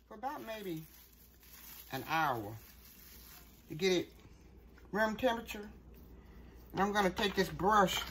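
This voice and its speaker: A woman's voice: mid-range voice, a bit bright. A middle-aged woman hosting a casual home cooking demonstration, talking in a folksy way and checking in with the viewer. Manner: folksy, often ending statements with "okay?". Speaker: home cooking show host. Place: home kitchen, later outdoors at a grill at night. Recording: noisy home video, clear background noise.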